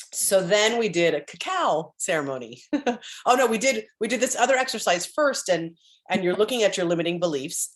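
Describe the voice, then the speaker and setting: Deep-voiced woman rambling on a call. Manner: rambling storytelling, frequent restarts, laughs and throat clearing. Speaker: spiritual group member sharing updates. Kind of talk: casual chat on a group call. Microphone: computer on a video call.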